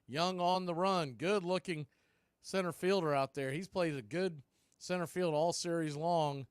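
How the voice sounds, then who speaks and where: A man calling live baseball play-by-play on the radio, in a brisk, conversational way that tracks every pitch and count. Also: smooth, no room sound, studio quality.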